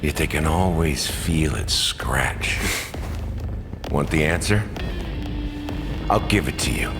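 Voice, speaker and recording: An actor playing a mocking, world-weary villain in a video game, drawing out taunts with philosophical cool. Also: male voice, very deep voice, expressive, exaggerated voice. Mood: mocking, cold. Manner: drawn-out, taunting. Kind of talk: video game cutscene acting. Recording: music behind the voice.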